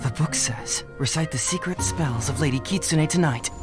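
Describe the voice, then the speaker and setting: A man's voice: fairly high pitch, moderate pace, natural voice. A man voicing an earnest video game character who recites in a hopeful, storybook way. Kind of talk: English game voice acting. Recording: very loud music over the voice. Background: game background music.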